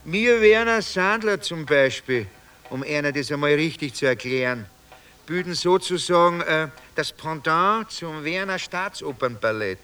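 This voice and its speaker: Lively, bright-voiced Viennese vagrant. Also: male voice, a little high, measured pace, faint music behind. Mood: sardonic. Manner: rambling, musing, folksy storytelling with mock-grand turns. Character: talkative Viennese homeless man, wry, wheedling, street philosopher. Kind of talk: radio drama acting in dialect.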